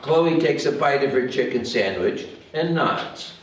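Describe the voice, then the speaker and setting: A man's voice: mid-range voice, lively intonation, a little slow, a little hiss or hum. A man giving scripted narration of stage directions at a table read, in an echoey room. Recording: very echoey room, distant microphone.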